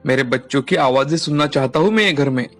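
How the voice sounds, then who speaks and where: A man's voice: medium pitch, quick pace, close to an everyday voice. A man playing a doting, earnest village husband and father in a storybook tale, with music behind. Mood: wistful.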